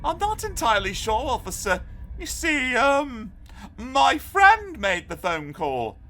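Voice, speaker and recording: Brief: a man playing a high-voiced, theatrical prankster boy from a storybook. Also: huge pitch swings, takes it slowly, bright, forceful tone, full character voice. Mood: scheming. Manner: theatrical, spooky, bossy. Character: lonely, scheming boy who loves real mischief.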